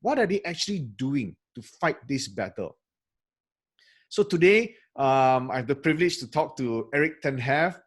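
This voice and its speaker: A man from Malaysia hosting a podcast interview over a video call, in a friendly, measured way. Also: medium pitch, animated, husky. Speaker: podcast host.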